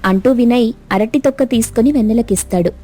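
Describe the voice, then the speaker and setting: High-voiced woman narrating a cartoon. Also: fairly quick. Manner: steady, plain storytelling. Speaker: storyteller narrator.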